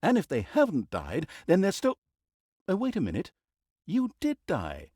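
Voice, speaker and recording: Wildly expressive, sardonic storybook narrator. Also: male voice, fairly high pitch, moderate pace, slightly rough, no echo, clean studio sound, full character voice. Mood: mock-grand, wry. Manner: theatrical, sardonic, mock-grand. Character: wry, theatrical male storybook narrator mocking heroes and villains. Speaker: game narrator. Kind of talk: scripted comic storybook-style narration for a fantasy video game.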